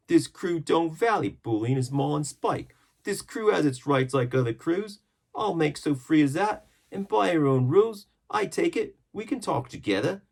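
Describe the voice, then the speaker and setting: A man's voice: fairly high pitch, gravelly, voice pushed up. A man voicing a stubborn pirate mutineer in his thirties who insists with mock politeness in a storybook reading. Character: disgruntled yellow-eyed pirate mutineer of thirty-five, stubbornly formal. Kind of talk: storybook character voice.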